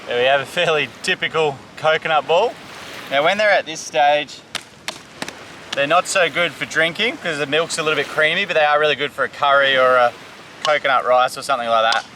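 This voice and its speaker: A man's voice: on the high side, a little fast, background noise. A young man from Samoa, an enthusiastic survival vlog host explaining foraged food outdoors on a tropical island beach. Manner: upbeat, enthusiastic tour-guide talk.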